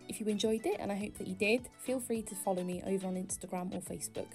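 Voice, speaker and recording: A woman's voice: medium pitch, quick pace, a little mellow, soft background music. A young woman from Wales, whose first language is Welsh, hosting a casual solo podcast in a chatty, self-deprecating, rambling way. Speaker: podcast host.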